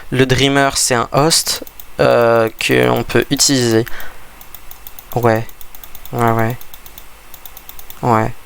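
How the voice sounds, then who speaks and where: A man's voice: medium pitch, level tone, extremely slow, gravelly, pauses often, fairly bright tone, clear, resonant tone. A man with a cold and a stuffy voice, giving rambling, hesitant casual commentary over a game he is playing, often restarting his sentences. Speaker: gaming video creator.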